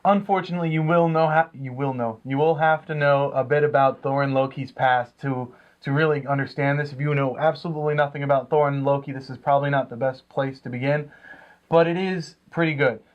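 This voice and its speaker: A man's voice: mid-range voice, steady tone, a little fast. A man co-hosting a comics review show, chatting casually with hesitant, rambling phrasing. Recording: dull lo-fi sound.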